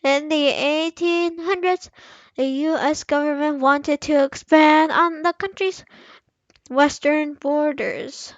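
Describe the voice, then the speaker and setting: A young girl with a high voice reads a history book aloud at a slow, halting pace. She trips over words and sometimes drifts into playful sing-song. The recording is dry and a little dull. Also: fairly even intonation, no room sound. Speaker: young reader practising aloud.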